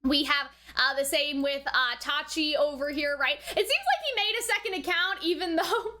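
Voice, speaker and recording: A woman giving casual, chatty anime reaction commentary in an animated way, often adding "like" and checking in with the listener. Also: sits high, normal speed. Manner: chatty, animated, frequent "like" and "right?". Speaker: anime reviewer.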